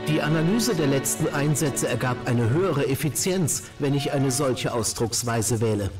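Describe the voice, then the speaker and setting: A man's voice: medium pitch, normal speed, recorded from a distance, music in the background, natural voice. A man playing a cold, analytical robot companion in a video game, speaking in clipped, formal, technical phrases. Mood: flat, analytical.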